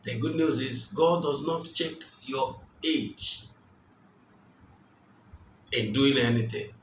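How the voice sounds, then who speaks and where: Slow, emphatic preacher man in a roomy space. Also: medium pitch, a little hiss or hum. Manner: slow and emphatic, repeating key phrases. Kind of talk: sermon. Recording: roomy, distant mic, faint ambience.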